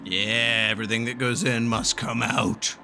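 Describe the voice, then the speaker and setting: A man's voice: mid-range voice, fairly even intonation, a little slow, over music. A man doing casual let's-play commentary as a gaming streamer, with a playful, joking manner. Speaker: gaming streamer reacting to a story game.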